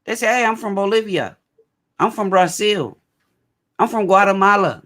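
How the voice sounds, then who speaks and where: A man hosting a loose, opinionated talk show. His voice sits a little high, and he speaks in an animated, emphatic way. He circles back and repeats key phrases to drive them home. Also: normal speed. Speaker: host.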